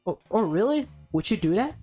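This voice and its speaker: A woman's voice: mid-range voice, big pitch swings, fairly quick, dark tone, slightly rough. An anxious teenage boy from a visual novel, read by a woman, casual and slangy. He sounds hesitant and easily exasperated, over faint music. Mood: hopeful. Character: anxious, exasperated high school boy pleading with girlfriend.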